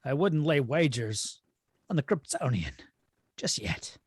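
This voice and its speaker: A man voicing a dour, coolly superior alien supercomputer villain in an amateur table read, measured in manner. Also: mid-range voice, sing-song, breathy edge. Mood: cool, dismissive.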